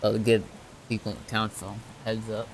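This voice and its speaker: A laid-back man with a fairly low voice talks casually as he plays an online roleplay game. His speech is informal and easygoing, with faint game ambience behind him. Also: monotone, normal speed, slight background noise, poor audio. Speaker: player in an online zombie survival roleplay. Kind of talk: casual gaming chat.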